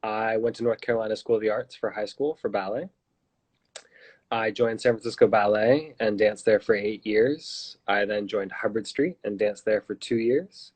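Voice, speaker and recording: A man in his thirties from the United States, a filmmaker guest, answering interview questions in a relaxed, matter-of-fact way with ums, heard over a phone on a video call. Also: fairly low pitch, moderate pace, breathy edge.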